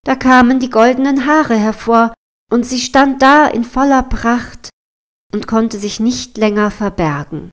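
Female fairy tale narrator, voice on the higher side, reading from a script. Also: measured pace. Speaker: storyteller.

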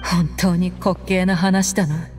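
A woman's voice: on the low side, little pitch movement, measured pace, a little mellow, soft background music, lightly acted. A woman playing a tough, cool-headed mercenary fighter in an anime game, speaking in a confident, blunt, tomboyish way. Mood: scornful.

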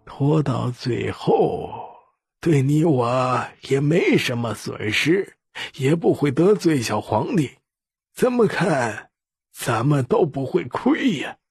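A man voicing a shrewd old patriarch in an audiobook, calm and scheming, with deliberate, weighty delivery. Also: mid-range voice, gravelly, breathy edge, no room sound, studio quality, full character voice. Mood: sly, confident.

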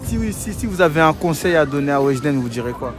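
A man's voice: fairly high pitch, animated, fast. Man interviewing on a busy street. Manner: short prompts and frequent murmured agreement. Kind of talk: street vox pop interview. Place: busy city square outdoors. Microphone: handheld phone held out to guests. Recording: street crowd and music behind.

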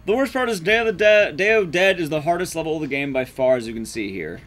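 A man's voice: a little high, animated, quick pace, fairly bright tone. A man giving casual live commentary as he plays a game, swearing and muttering asides to himself. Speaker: streamer, speedrunner. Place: indoor room, streaming setup.

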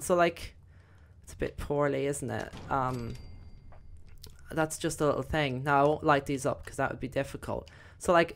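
A young woman with a slightly croaky voice, chatting casually through gameplay commentary with lots of fillers. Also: deep voice. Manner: chatty, rambling, many fillers. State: croaky, voice recovering. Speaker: gaming video host.

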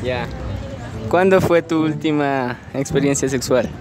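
A man's voice: medium pitch, measured pace. A young man with an Ecuadorian Spanish accent, asking teasing questions in a casual street interview. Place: outdoor city street. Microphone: phone held out as a mic. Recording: music playing over the street sound.

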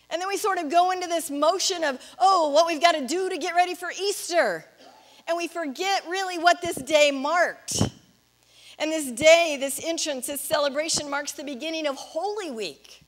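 A woman's voice: high voice, fairly bright tone. A middle-aged woman pastor preaching in a reflective, explanatory way, putting questions to her listeners. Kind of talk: sermon. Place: church stage, indoors.

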